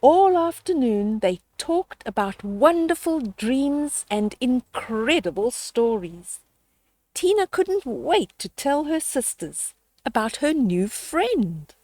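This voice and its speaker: A woman's voice: sits high, sing-song, slow pace, darkish tone, dry recording. An older woman reading a children's story aloud, expressively, with explanatory asides and questions to young listeners.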